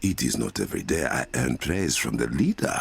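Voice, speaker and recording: A man playing a regal warrior king in a video game, speaking with an African accent in a measured, formal, commanding and dryly wry way. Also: a bass voice, sing-song, creaky, breathy edge, full character voice. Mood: calm, reflective. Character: regal male warrior king, masked hero protecting his land. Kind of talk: video game dramatic voice acting. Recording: loud game music behind.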